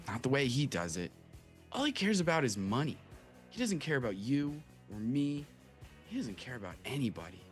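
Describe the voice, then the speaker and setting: A man's voice: mid-range voice, very animated, moderate pace, faint music behind, natural voice. A man playing a savvy, blunt young boy, a jaded little brother, in a live comedic table read. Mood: bitter.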